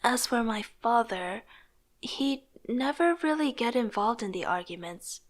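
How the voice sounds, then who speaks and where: A woman's voice: fairly high pitch, lively intonation, unhurried. A woman playing a rebellious, wistful young artist who tells her own life story in earnest scripted narration and dialogue. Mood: reflective, wistful. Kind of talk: scripted first-person narration with acted dialogue.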